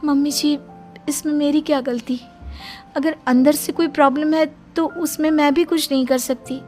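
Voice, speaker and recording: A woman's voice: high-pitched, steady tone, normal speed, darkish tone, faint music behind, natural voice. A woman playing a young daughter-in-law in a family cartoon, earnest, aggrieved and protesting. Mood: pleading. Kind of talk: Hindi family cartoon acting.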